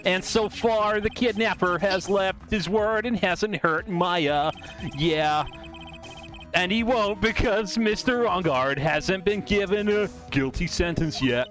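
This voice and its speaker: A man's voice: sits high, slow pace, a bit bright, soft background music, voice pushed up. A man voicing an earnest young defense lawyer in a fan game dub, reassuring and a little strained. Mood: urgent.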